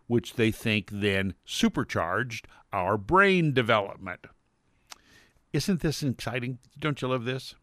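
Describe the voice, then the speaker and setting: Slow-talking upbeat radio host man. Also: mid-range voice, fairly bright tone, dry recording, clean studio sound. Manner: upbeat, playful, talks to listeners directly. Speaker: radio show host, dentist. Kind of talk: radio talk show chat.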